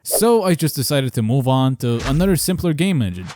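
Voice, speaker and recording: A man's voice: mid-range voice, expressive, fairly quick, a little mellow, a little hiss or hum. Casual, self-deprecating man narrating. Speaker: video creator narrating a devlog.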